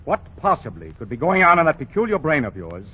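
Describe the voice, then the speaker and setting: A dull, lo-fi 1940 radio recording of a self-righteous male boss. His voice sits fairly high and has a husky edge. He lectures pompously and emphatically, in old radio comedy style. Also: huge pitch swings, fast talker, full character voice. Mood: indignant scolding. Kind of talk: 1940s radio comedy acting.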